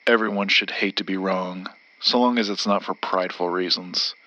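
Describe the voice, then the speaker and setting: A man's voice: sits low, steady tone, moderate pace, pushed and bright, poor audio. A man playing a brooding, determined, disgraced story collector turned monster hunter in an audio drama, speaking tersely and intensely. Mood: wounded, doubting.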